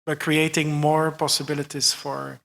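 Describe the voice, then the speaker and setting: Male panelist with a fairly high, gravelly voice and a thoughtful, additive way of talking. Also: little pitch movement, normal speed, a bit bright. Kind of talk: panel discussion.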